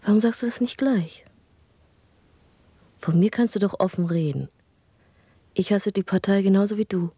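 A woman acting in a German radio drama, playing a bold, sensual and defiant lover and pragmatic rebel with a breathy, hushed voice. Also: medium pitch, moderate pace, long pauses, no room sound. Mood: intimate, defiant.

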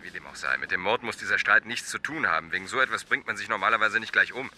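An actor playing a businesslike police captain in a German radio crime drama, decisive, terse and analytical. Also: male voice, fairly low pitch, fast talker, pushed and bright. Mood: brisk, dissatisfied. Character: brisk, businesslike male police captain in charge.

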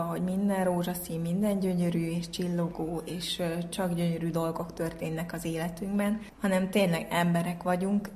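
Low, even-voiced woman over background noise. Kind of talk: informal explanatory talk.

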